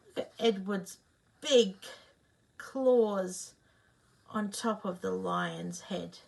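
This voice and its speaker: A woman reading a children's picture book aloud, expressive, pausing to ask her young listeners questions. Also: mid-range voice, vocal fry. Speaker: storyteller.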